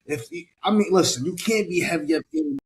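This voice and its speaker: A man chatting casually on a podcast, halting and repeating phrases, with slangy talk. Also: high voice, animated, husky.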